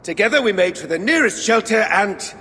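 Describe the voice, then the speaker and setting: An actor playing a friendly, plain-hearted dwarf animal trader in a video game, with a folksy storytelling manner. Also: male voice, sits high, expressive, a little fast, bright, forceful tone. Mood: wistful. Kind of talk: video game cutscene acting. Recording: long hall reverb.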